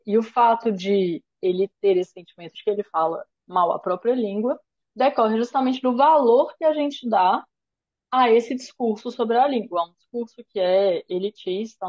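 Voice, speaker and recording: A woman from Brazil teaches over a video call in a measured, explanatory way. She tags her points with little check-ins as she reasons aloud. Her mid-range voice comes through dull and lo-fi. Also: mid-range voice, darkish tone. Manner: thoughtful, explanatory, frequent "né?" tags. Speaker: course lecturer. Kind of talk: online lecture discussion.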